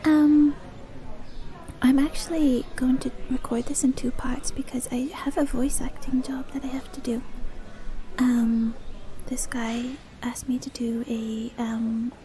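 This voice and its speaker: A woman speaks in a fairly high yet very mellow voice. She often slips into a whisper, as an ASMR creator does. Her talk is casual, rambling and self-deprecating. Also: level tone, a little slow. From Dublin, Ireland.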